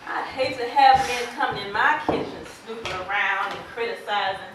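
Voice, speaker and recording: A woman's voice: medium pitch, fairly quick, recorded from a distance, lightly acted. A woman playing a plainspoken, defensive farmer's wife in a stage drama, blunt in manner. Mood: resentful. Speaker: amateur stage actor. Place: indoor theater stage, distant microphone. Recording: echoey stage from afar, clear background noise.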